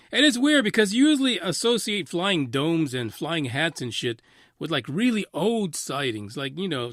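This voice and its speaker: Animated high-voiced male radio host. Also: no room sound, clean studio sound. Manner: animated, emphatic, frequently swearing. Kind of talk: casual live talk radio commentary on a news story.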